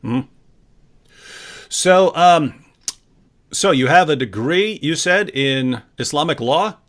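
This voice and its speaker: Upbeat male host of a live stream, slightly high voice, playful and chatty. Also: takes it slowly. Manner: jokey, energetic, conversational. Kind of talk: live-stream talk show hosting.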